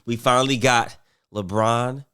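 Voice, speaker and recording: A man's voice: mid-range voice, steady tone, measured pace, pushed and bright, clear, resonant tone. A man commentating casually on basketball, rambling with fillers and restarts while getting over a cold.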